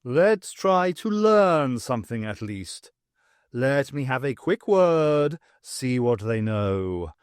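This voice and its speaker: A man's voice: medium pitch, big pitch swings, slow, clean tone, no echo, studio quality. A man doing a fruity, jovial English alien warlord.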